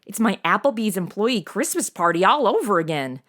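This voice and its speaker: A woman's voice: mid-range voice, big pitch swings, a little fast, a bit bright. A woman playing a sarcastic, outspoken young modern granddaughter with slangy delivery in a comedy audio drama. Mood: snarky. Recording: music under the voice.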